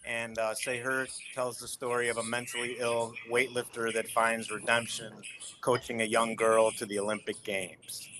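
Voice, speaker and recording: A man's voice: mid-range voice, level tone, moderate pace. A man who directs films, giving a short, straightforward introduction on a panel. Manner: brief, straightforward. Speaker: film director guest. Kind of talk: casual panel talk. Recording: noisy call audio.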